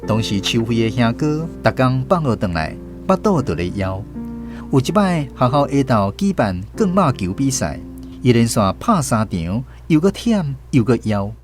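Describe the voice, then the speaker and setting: A man's voice: mid-range voice, sing-song, moderate pace, music in the background. A man with a Taiwanese accent narrating a scripted storybook radio drama.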